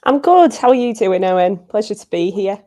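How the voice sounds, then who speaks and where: A journalist guest with a Hull accent and a Scouse lilt, cheerful and laughing easily on a video call. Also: female voice, medium pitch, lively intonation, fairly quick, mellow.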